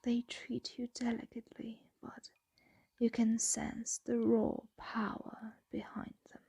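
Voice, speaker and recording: A woman voicing a possessive, doting female dragon in an ASMR roleplay, soothing and teasing in a breathy, intimate whisper. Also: fairly high pitch, monotone, slow pace, mellow, no echo, full character voice.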